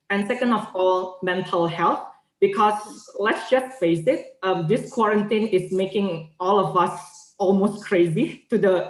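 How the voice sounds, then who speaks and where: A young woman giving formal, persuasive speech as a competition finalist, earnest and structured. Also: on the low side. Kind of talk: formal persuasive public speaking. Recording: echoey room sound.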